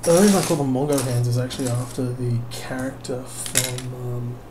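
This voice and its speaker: A man's voice: medium pitch, steady tone, moderate pace. A tired man, rambling wryly and self-mockingly in a late-night video while he builds a kit. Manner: self-mocking, wry and rambling, trailing off with fillers. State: tired, unable to sleep. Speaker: vlogger. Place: home at night. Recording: noisy home recording.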